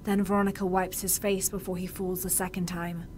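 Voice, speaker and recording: A woman playing a young, determined, thoughtful adventurer in naturalistic video game dialogue, speaking in a measured, inquisitive way. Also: flat delivery, darkish tone, lightly acted. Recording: game ambience and rumble behind voice.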